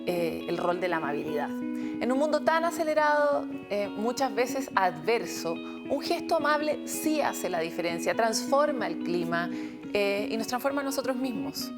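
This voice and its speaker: A woman hosting a television talk show, speaking in a measured, thoughtful way with pauses. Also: on the high side, sing-song, over loud music. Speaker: host.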